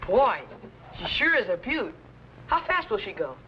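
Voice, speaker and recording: A young male actor playing an eager, daydreaming teenage boy assistant in a 1950s sci-fi serial, earnest and excitable. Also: sits high, moderate pace, lo-fi sound. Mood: excited. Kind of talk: 1950s television serial acting. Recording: old soundtrack with faint noise.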